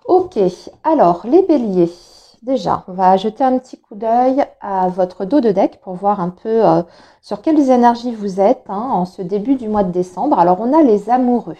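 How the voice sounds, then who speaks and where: A woman with a slight cold and a bit of hoarseness in her throat, giving a monthly tarot reading in a hesitant, explanatory way with many filler sounds. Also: medium pitch, expressive, normal speed, warm tone, lo-fi sound. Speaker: tarot reader.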